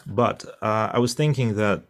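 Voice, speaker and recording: Deep-voiced man, thoughtful. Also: normal speed, fairly bright tone. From Russia. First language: Russian. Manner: thoughtful, many fillers and restarts. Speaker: interpreter. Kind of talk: conversational interview answer.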